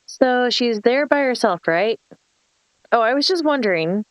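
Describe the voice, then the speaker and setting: A woman's voice: fairly high pitch, lively intonation, unhurried, dry recording, low-quality recording. A woman acting a mean, bossy, scheming young woman in a horror script table read, with a sarcastic, entitled delivery. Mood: smug, sarcastic.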